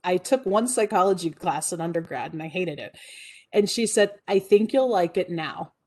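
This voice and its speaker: Woman in her 40s telling her story, kinda low warm voice, lots of um and restarts. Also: lively intonation, moderate pace. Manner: earnest, reflective, with frequent fillers and restarts. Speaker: guest speaker. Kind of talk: personal storytelling talk.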